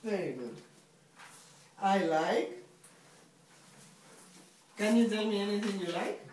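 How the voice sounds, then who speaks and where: Young female teacher, slow, patient and clear with beginners; distant mic in a reverberant classroom. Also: mid-range voice, animated, a little mellow. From Spain. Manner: slow, patient, repeats questions for students. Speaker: English teacher. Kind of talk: beginner English lesson. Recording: echoey room, far from mic, faint hiss.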